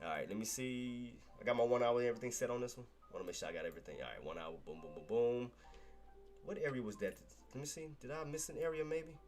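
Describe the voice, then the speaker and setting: A man's voice: medium pitch, animated, fast talker, darkish tone, soft background music. Congested man talking through stock charts. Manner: casual, repetitive, talks to chat as "boss". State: nasal congestion. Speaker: trading livestream host. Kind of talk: live stock chart commentary.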